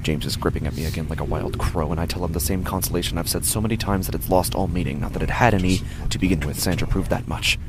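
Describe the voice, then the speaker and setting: Low, gravelly man acting a weary, frightened father. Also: quick pace, few pauses, noisy background. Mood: brooding dread. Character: weary, frightened father narrating a horror story. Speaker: narrator. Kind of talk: first-person horror audio drama narration. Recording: music under the voice.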